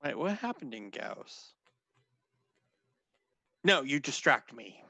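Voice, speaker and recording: A man's voice: mid-range voice, big pitch swings, slow, gravelly, pushed and bright, no echo. A man chatting casually as a player in a tabletop game. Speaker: player and co-host.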